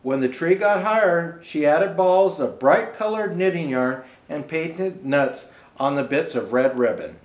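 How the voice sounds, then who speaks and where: A man reading a children's storybook aloud as Santa, reading haltingly and pausing for asides to show the pictures. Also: fairly high pitch, expressive, echoey room, low-quality recording. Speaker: storyteller presenting as Santa Claus.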